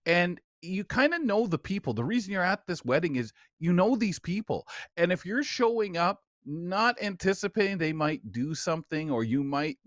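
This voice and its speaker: A man's voice: high-pitched, lively intonation, moderate pace, few pauses, fairly bright tone, dry recording, clean studio sound. A man hosting a radio talk show in an emphatic, folksy, rambling conversational way.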